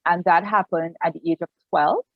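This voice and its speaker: A woman's voice: on the low side, steady tone, brisk, dry recording, narrow, tinny audio. A woman in her forties with a Trinidadian accent, a pastor and counselor telling her personal story earnestly on a video call, often restarting her sentences. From Trinidad and Tobago. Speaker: guest, pastor and family life counselor. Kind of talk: personal story in an online interview.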